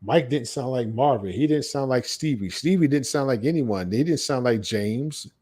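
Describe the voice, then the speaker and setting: Blunt American man with a mellow voice. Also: mid-range voice, normal speed, husky. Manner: blunt, repeats phrases for emphasis. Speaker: podcast co-host. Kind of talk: casual opinionated podcast chat.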